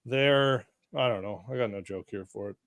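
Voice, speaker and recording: A man's voice: on the low side, moderate pace, a bit bright, dry recording, studio quality. A man bantering in a casual panel chat as one of the panelists.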